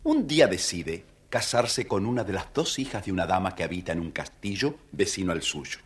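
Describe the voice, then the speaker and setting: Low-voiced male storyteller. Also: expressive, normal speed. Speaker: storyteller, narrator. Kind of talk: scripted children's fairy-tale narration.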